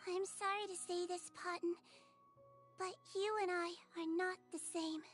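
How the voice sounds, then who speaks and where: Video game role: a tiny, gentle head nurse, very high-pitched, sweet and sincere. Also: female voice, fairly even intonation, a little slow, faint music behind. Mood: solemn. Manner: sweet, earnest, polite. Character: small gentle cheerful female nurse creature.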